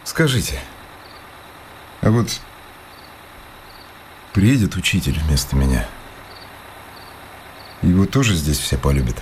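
A restless village schoolteacher in a radio drama, speaking very low. He is wry and brooding, with an ironic edge, over the faint noise of crickets. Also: sing-song, slow, long pauses, darkish tone, full character voice. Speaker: actor. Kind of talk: radio play acting.

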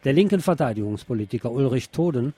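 A male radio presenter reading out press reports and commenting on them in a deliberate, emphatic way. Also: medium pitch, animated, dark tone, breathy edge. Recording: music behind the voice. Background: background music.

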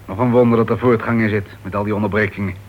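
A man's voice: lowish voice, steady tone, racing, close to an everyday voice. An actor playing an irritable, self-important male writer in a radio play, with an impatient, grumbling manner. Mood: grumbling, sarcastic. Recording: dull, noisy old radio play recording.

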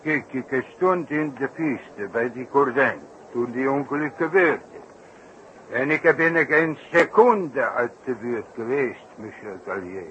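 An actor playing a self-important, wordy circus clown in a 1960s radio play, repeating himself for emphasis. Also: male voice, medium pitch, animated, slow, a bit bright. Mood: solemnly boastful. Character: excitable, boastful old-fashioned circus clown with foreign flourishes. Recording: dull, noisy 1960s radio recording.

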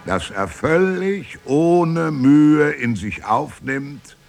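An actor playing Petrarch, a proud and reflective medieval scholar-poet, delivering a measured, rhetorical radio-play monologue. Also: male voice, on the high side, lively intonation, creaky, full character voice. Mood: imperious, demanding. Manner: measured, deliberate, rhetorical, with emphatic swells and hushed endings. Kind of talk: solemn literary radio monologue, declaimed.

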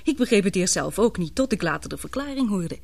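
A husky, earnest, frightened young woman. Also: medium pitch, lively intonation, fairly quick, a little mellow. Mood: earnest, insistent. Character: frightened young woman confiding in her lover. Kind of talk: radio play acting.

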